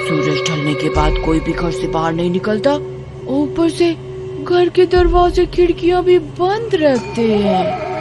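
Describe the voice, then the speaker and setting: A woman voicing a cunning, menacing witch in a children's story, with gloating, drawn-out taunts. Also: on the high side. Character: cunning, menacing witch who hunts villagers.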